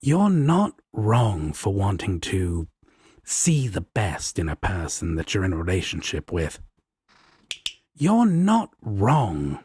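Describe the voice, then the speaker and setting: Man playing a deep, husky devil roommate. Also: wildly swooping pitch, slow pace, a little mellow, no room sound, clean studio sound, full character voice. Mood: gentle, firm. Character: sardonic, protective, affectionate male devil roommate. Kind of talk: romantic comfort audio roleplay.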